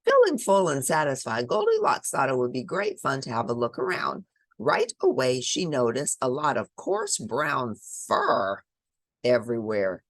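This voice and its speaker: A woman's voice: a bass voice, wildly swooping pitch, measured pace, no room sound. A woman reading a picture book aloud to children, expressively, with dramatic emphasis and chatty asides. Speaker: children's storybook reader.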